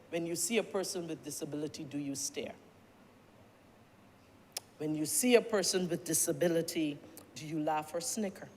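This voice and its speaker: A middle-aged government minister with a Barbadian accent gives a formal, deliberate parliamentary speech, often addressing the chair and building points through repeated questions. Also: medium pitch. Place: parliament chamber. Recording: long-reverb chamber with faint noise.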